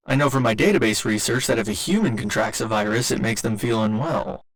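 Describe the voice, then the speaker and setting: A man's voice: medium pitch, low-quality recording. A man voicing a polite, earnest robot boyfriend in an ASMR roleplay, speaking in formal, precise and naively literal language. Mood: puzzled, analytical. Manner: formal, precise, careful wording with occasional glitchy stutters.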